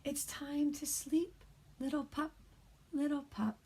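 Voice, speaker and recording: A woman in her thirties, a kindergarten teacher, reading a bedtime picture book aloud to young children in a soft, hushed voice that often drops to a whisper. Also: high voice, steady tone, takes it slowly, a little hiss or hum. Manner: gentle and encouraging to young children.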